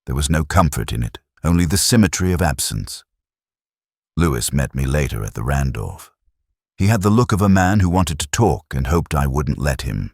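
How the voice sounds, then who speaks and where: A man acts out a weary, melancholy detective telling his own story in a radio mystery. The voice is extremely low, with lively rises and falls in pitch. The delivery is measured and brooding, and the sound is close and dry. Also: husky, dry recording, exaggerated voice. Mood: wistful, resigned. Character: weary, melancholy, reflective male English police detective. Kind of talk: scripted first-person detective story narration.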